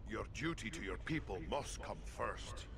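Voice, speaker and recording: A man's voice: on the low side, lively intonation, unhurried, noisy background. A man playing a determined adventurer in a fantasy game, with a direct, earnest way of talking. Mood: earnest resolve. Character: plain-spoken, determined adventurer hero. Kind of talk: fantasy video game cutscene acting. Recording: music underneath.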